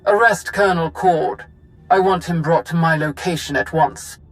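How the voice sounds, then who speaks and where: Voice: medium pitch, normal speed, soft background music, natural voice. Actor as a cool, commanding Sith lord. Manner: formal, clipped, commanding. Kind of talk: dramatic video game acting.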